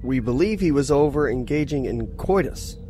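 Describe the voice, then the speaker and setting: A man's voice: mid-range voice, lively intonation, moderate pace, warm tone, a little hiss or hum, close to an everyday voice. A man playing an earnest, formal starship lieutenant in a science fiction audio drama, speaking in a matter-of-fact way. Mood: formal, measured. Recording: music behind the dialogue.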